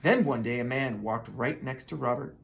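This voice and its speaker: A person reading a children's story aloud in an expressive, dramatic manner. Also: mid-range voice, moderate pace, creaky, slight background noise. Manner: expressive, with dramatic sound words. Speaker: storybook reader.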